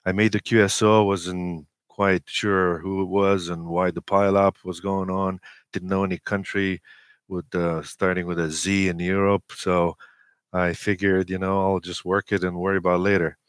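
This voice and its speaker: A man in his forties with a Romanian accent, a native Romanian speaker, telling personal stories as an interview guest in a halting, hesitant way with many pauses. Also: sits low, flat delivery, clean tone, no echo, studio quality. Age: 40s. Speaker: interview guest, amateur radio operator.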